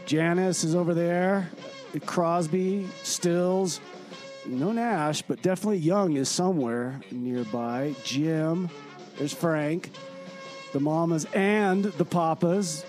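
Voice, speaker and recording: Gossipy laid-back traveler, played by a man, higher male voice, confiding radio-comedy monologue over music. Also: slow pace, a little mellow. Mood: breezy.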